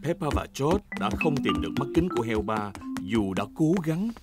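A woman's voice: extremely low pitch, sing-song, normal speed, heavily acted. A woman narrator reading scripted narration for a children's cartoon. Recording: music behind the voice.

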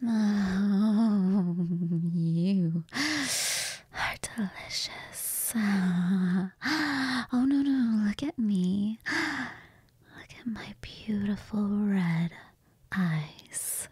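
A woman voicing a cold, hungry female vampire, speaking in a slow, breathy whisper right at the microphone. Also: medium pitch, dark tone, no room sound, full character voice. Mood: seductive. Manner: slow, intimate, purring murmurs. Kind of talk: whispered ASMR roleplay. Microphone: close mic.

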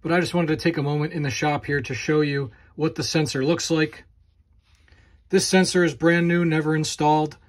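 A man with a somewhat high voice explains a repair step by step. He talks in a methodical, explanatory way, like a host guiding viewers through a job. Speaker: channel host demonstrating repairs. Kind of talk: instructional walkthrough.

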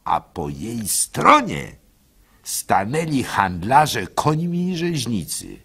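An actor playing a gullible, soft-hearted village baker who tells his story in a halting, plaintive way for a radio play. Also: mid-range voice, wildly swooping pitch, gravelly, slightly rough, heavily acted. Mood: indignant, recounting. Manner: halting, stammering, plaintive, swinging between hushed and loud. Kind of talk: dramatic first-person storytelling for a radio play.

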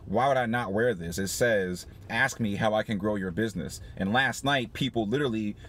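A man in his thirties talking casually in a parked car, animated and laughing often, with many restarts. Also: on the low side, moderate pace. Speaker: small business owner vlogger. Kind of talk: casual motivational vlog. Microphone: phone camera on dashboard.

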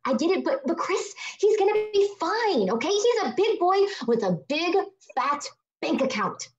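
A woman's voice: very high voice, sing-song, moderate pace. A woman acting an exasperated, streetwise young woman in a comedy table read, slangy and emphatic, from a living room on a video call. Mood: reassuring, brusque. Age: young adult. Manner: slangy, emphatic, repeats words. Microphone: laptop microphone. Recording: echoey, dull video-call audio.

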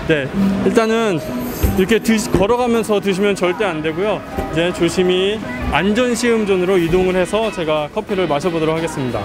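Upbeat, polite man in his thirties, higher voice, vlogging on a handheld mic with loud crowd and music behind. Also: fairly quick. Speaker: YouTuber touring a trade show. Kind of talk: casual vlog narration and interviews. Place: busy indoor expo hall.

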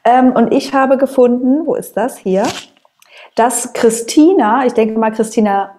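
A woman's voice: a little high, unhurried, mellow, slight background noise. A woman in her twenties co-hosting a show, chatting casually and bluntly in slangy, wry talk. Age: 20s. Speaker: co-host of an online show. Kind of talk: casual chatty discussion of reality TV. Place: small indoor room at a desk.

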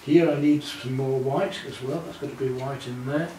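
A man's voice: mid-range voice, steady tone, moderate pace, mellow. A man in his sixties patiently teaching a student to paint, giving reassuring step-by-step instructions. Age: 60s. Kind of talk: hands-on demonstration to a student. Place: living room at home, indoors. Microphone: camera a few feet away. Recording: roomy, distant mic with background noise.

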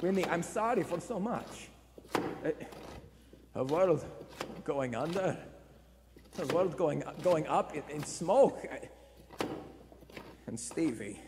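Guilty, nervous husband male actor, radio drama. High, halting, pleading delivery, heard in a reverberant hall. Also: lively intonation. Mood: nervous, remorseful. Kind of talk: live vintage radio play acting. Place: indoor theatre stage before live audience. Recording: echoey theatre hall, faint noise.

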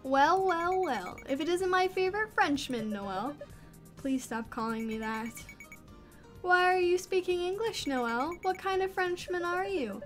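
Perempuan memerankan gadis remaja jahil yang sok. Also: female voice, very high voice, lively intonation, unhurried, faint music behind, pitched above the performer's own voice. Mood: teasing. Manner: playful, mock-dramatic teasing. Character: goofy teenage girl prankster, cocky joker.

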